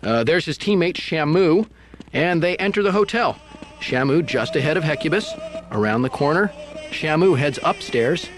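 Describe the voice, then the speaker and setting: A man's voice: animated, moderate pace, soft background music. A man giving play-by-play commentary on a competitive video game match, his voice jumping up at each kill. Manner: rises sharply in pitch at kills. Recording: TV broadcast with game gunfire noise.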